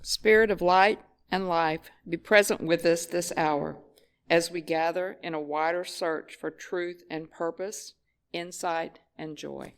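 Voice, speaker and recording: A woman lay reader giving a short ceremonial reading in measured, solemn phrases. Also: fairly low pitch, steady tone, smooth. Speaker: chalice lighter, lay reader.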